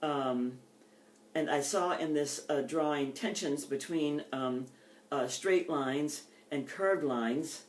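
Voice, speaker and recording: A woman's voice: low voice, slow pace, a little hiss or hum. Older woman poet in an echoey room. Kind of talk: poetry reading with informal introductions. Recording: echoey, roomy sound.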